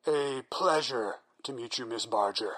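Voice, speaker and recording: A man's voice: mid-range voice, measured pace, a bit bright, breathy edge. An actor playing a single-minded male scientist who explains his plans in an earnest, persuasive way. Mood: polite. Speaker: researching psychologist. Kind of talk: audio drama acting.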